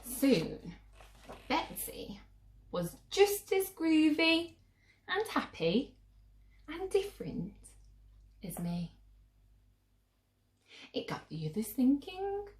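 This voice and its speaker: A woman reading a picture book aloud to children with theatrical, drawn-out emphasis. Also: high-pitched, very animated, roomy sound. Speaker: children's story reader.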